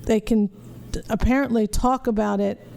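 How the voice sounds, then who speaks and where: A woman's voice: medium pitch, fairly even intonation, normal speed, creaky, mellow. A middle-aged woman hosting a podcast, giving casual, blunt commentary with some swearing. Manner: blunt, profane. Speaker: podcast host. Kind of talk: casual watch-along commentary.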